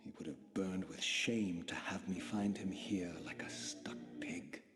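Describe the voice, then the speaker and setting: A bitter, proud undead wraith speaks with eloquent, theatrical menace in a video game. The voice sits fairly low, over a clear music bed. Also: male voice, fairly even intonation, a little slow. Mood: brooding. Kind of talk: scripted video game acting.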